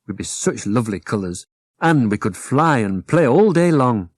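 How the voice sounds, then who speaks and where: A man's voice: mid-range voice, very animated, moderate pace. A man voicing an eager, enthusiastic young boy rag doll in a children's storybook, full of suggestions. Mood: keen, persuasive.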